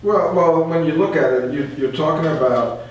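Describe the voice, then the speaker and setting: A man's voice: fairly high pitch, moderate pace. A man talking casually in a discussion. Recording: very echoey room, distant, faint noise.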